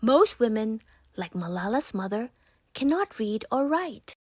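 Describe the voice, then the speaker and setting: A woman narrating a children's picture book aloud in a measured, expressive reading style. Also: fairly high pitch, no room sound, clean studio sound. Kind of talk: scripted storybook narration.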